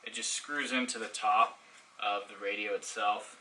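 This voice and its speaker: A man's voice: lowish voice, fairly even intonation, moderate pace, pushed and bright. A man giving a casual unboxing review and hands-on demonstration, explaining things informally step by step. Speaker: product reviewer. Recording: distant mic, faint background noise.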